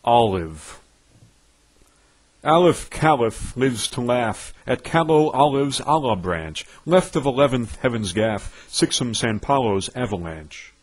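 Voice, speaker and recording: A man with a husky voice reciting poetry in a theatrical, pompous, declamatory way with dramatic emphasis. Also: mid-range voice, expressive, slow pace. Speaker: poetry reader. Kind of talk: theatrical recitation of nonsense verse.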